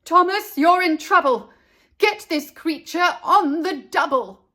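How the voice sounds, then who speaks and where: A woman voicing an exasperated, scolding mother in a children's storybook, loud, emphatic and commanding. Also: very high-pitched, animated, takes it slowly, pitched above the performer's own voice, full character voice. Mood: angry, stern. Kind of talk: rhyming storybook character.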